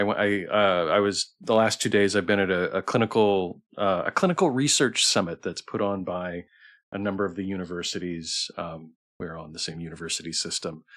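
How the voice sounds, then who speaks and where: A man's voice: fairly low pitch, fairly even intonation, normal speed, a bit bright. A tired man hosting a game livestream, chatting casually with viewers in a hesitant, rambling way with repeated phrases and restarts. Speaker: livestream host playing a visual novel. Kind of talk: casual chat with stream viewers.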